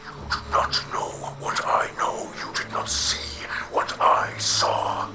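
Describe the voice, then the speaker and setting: A man's voice: takes it slowly, echoey room, whispered. A man voicing a solemn, guarded alien warrior father in a video game, speaking formally through creature-like processing. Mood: guarded, grave. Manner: solemn, formal speech without contractions. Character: grave alien warrior father, protective and guarded, burdened by his past. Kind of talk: dramatic video game cutscene acting.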